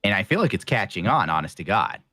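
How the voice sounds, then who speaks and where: A man's voice: sits low, big pitch swings, quick pace, a crowd in the background. A man guest on a hobby podcast, talking casually with blunt, profane jokes. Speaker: guest painter and video maker. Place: small room.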